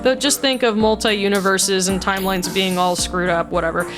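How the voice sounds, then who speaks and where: A woman's voice: mid-range voice, steady tone, moderate pace, music in the background. A woman casually talking through her voice mod work, chatty and blunt, laughing often. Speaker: voice mod creator. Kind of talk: casual commentary.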